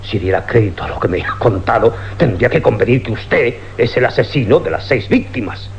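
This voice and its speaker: An actor playing a skeptical, impatient police commissioner in a suspense radio drama, formal and probing, with an accent from Spain. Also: male voice, medium pitch, animated, a little fast, creaky. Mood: incredulous, alarmed. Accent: Spanish, from Spain. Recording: dull, noisy old radio recording with hum.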